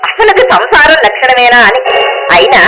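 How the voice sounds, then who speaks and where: A woman's voice: high voice, big pitch swings, brisk, poor audio. An actress playing a complaining middle-aged mother-in-law in a Telugu radio drama, nagging sarcastically with indignant rhetorical questions. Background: music.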